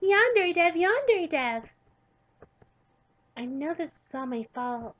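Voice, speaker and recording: High, loud anime schoolgirl, frantic and pleading, read by a woman, muffled lo-fi sound. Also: sing-song, slow pace, full character voice. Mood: urgent. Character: panicky shouting green-haired schoolgirl.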